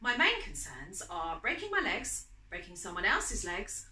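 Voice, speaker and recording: A woman's voice: mid-range voice, sing-song, unhurried, bright, forceful tone, roomy sound, heavily acted. A woman voicing a self-mocking, flustered single career woman bantering on a date in a storybook romance.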